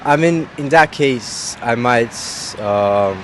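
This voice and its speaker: A man's voice: mid-range voice, animated, slow pace. Young man, hesitant student. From Romania. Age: young adult. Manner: hesitant and earnest, with many "I mean" fillers. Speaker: university student. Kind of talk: street interview answers. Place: outdoors on a street. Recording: outdoor street recording with traffic.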